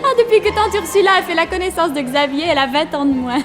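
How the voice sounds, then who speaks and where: A woman's voice: extremely high pitch, animated, fast talker, loud music behind the voice. An actress playing a radiant, naive girl of twenty in love, in a 1960s French radio play. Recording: loud dance band and crowd, large hall.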